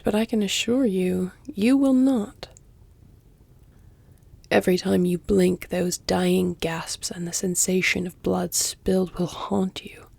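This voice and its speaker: Woman as a creaky-voiced, flirtatious ancient vampire lady. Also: mid-range voice, mellow, no echo. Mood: grave, warning. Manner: measured, teasing, formal, slipping into breathy near-whispers. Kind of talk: intimate audio roleplay, scripted dramatic acting. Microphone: close, dry studio-style mic.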